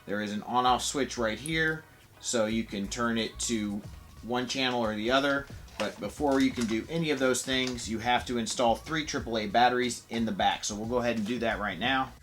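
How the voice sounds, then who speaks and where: A man's voice: mid-range voice, moderate pace, fairly bright tone, soft background music. A man giving a casual, enthusiastic, conversational product review as a toy reviewer.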